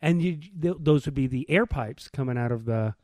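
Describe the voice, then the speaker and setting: A man's voice: mid-range voice, fairly quick, a little mellow, no room sound. A man co-hosting a podcast with casual banter, talking in a blunt, informal way. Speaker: podcast co-host.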